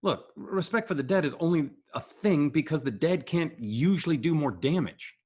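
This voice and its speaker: A man's voice: on the high side, animated, normal speed, a little mellow, slightly rough. An actor playing a sardonic, self-assured male ringleader who speechifies in a scripted sitcom table read. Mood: cynical, persuasive.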